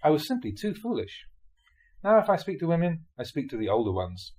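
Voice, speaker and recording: A man, a Buddhist monk, reads translated spiritual teachings aloud in a scripted audiobook style, with measured, deliberate phrasing in short clauses. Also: on the high side, big pitch swings, darkish tone. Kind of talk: scripted audiobook-style reading of dharma talks.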